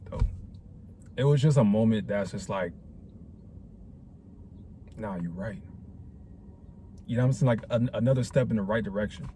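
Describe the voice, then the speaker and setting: A man's voice: mid-range voice, dark tone, a little hiss or hum. A man in his twenties talking casually to camera in a rambling, reflective way, full of slang and fillers. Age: 20s. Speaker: vlogger. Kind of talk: casual talking-head vlog.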